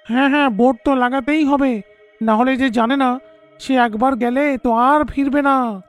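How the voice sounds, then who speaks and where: A worried young village man, played by a male actor in a cartoon horror tale. His voice is extremely high and earnest, with music underneath. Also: steady tone, normal speed. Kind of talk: animated horror story voice acting.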